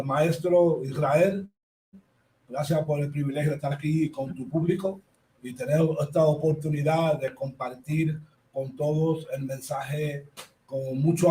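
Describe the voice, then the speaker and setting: A man's voice: fairly high pitch, moderate pace, mellow, a little hiss or hum, poor audio. A man with a Puerto Rican accent hosting a radio talk show, chatting loosely, repeating words and slipping into Spanish. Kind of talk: casual interview chat.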